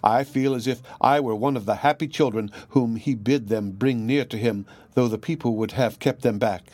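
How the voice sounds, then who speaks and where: A man's voice: mid-range voice, normal speed, a little mellow, no echo, natural voice. A genteel young lady of a storybook, voiced by a male narrator, dreamy, earnest and eager. The sound is dry and close. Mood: hushed rapture.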